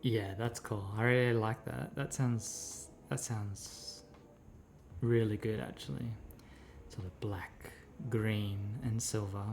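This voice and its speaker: A man's voice: fairly low pitch, steady tone, slow, gravelly, a little mellow, soft background music. A man with a scratchy voice chatting casually with viewers while painting miniatures, rambling and answering their messages. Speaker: streamer.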